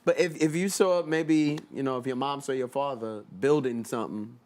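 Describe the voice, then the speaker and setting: A man's voice: mid-range voice, moderate pace, crowd noise. A man co-hosting a casual panel chat, joking and telling stories with laughter.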